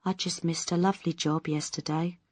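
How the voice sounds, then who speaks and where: A woman voicing a thin, sad young actress with a quietly catty edge. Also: lowish voice, fairly even intonation, brisk, dry recording, natural voice. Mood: mournful. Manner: mournful, then quietly catty.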